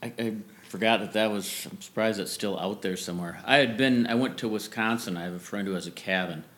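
Low-pitched guy on a podcast, talks in loops, restarts a lot, laughs easy, at home. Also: brisk, a bit bright. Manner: rambling, self-correcting, laughs easily. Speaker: musician guest. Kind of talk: casual interview chat. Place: family home.

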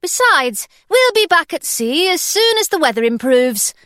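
A woman's voice: very high-pitched, big pitch swings, a bit bright, no room sound, studio quality, voice pushed up, exaggerated voice. A woman voicing a bold young pirate captain. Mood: firm. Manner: brisk and commanding.

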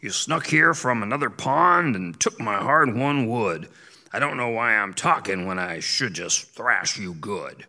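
A gruff, crotchety old beaver grumbles in a slightly raised voice, as a storyteller puts him on. He is a lonely old male, scolding and blunt, and his voice rings with a long reverb. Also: lowish voice, lively intonation, unhurried, sharp tone, exaggerated voice. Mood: angry.

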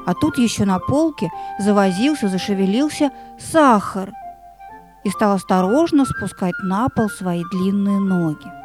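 A woman narrator reading a scripted fairy tale in an expressive, deliberate storytelling manner. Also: mid-range voice, over music, natural voice.

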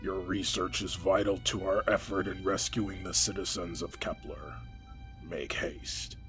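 A man acting as an authoritative military general in a sci-fi audio drama, speaking in a formal, measured, solemn way. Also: low voice, level tone, a bit bright, faint music behind. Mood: firm, businesslike.

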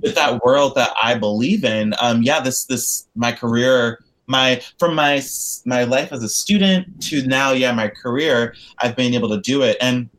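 A man's voice: mid-range voice, measured pace, hardly any pauses. A young man from the United States, talking about his activist work in an interview, earnest and rambling with lots of fillers and restarts. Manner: earnest and expressive. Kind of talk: casual interview conversation.

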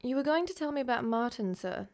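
A concerned Australian teacher and counsellor in a radio drama. Her voice is on the high side. She speaks politely and earnestly. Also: animated, fast talker. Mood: polite, hesitant.

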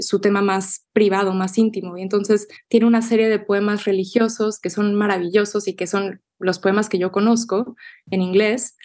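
A young adult woman poet answering interview questions in a thoughtful, measured way, often restarting small phrases as she thinks. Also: medium pitch, darkish tone. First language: Spanish.

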